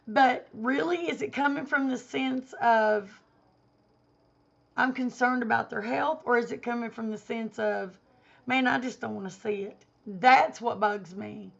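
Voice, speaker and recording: A woman vlogger giving an indignant opinion rant to camera, hoarse because she has a cold and is losing her voice. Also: fairly high pitch, measured pace, dull audio. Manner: indignant, emphatic.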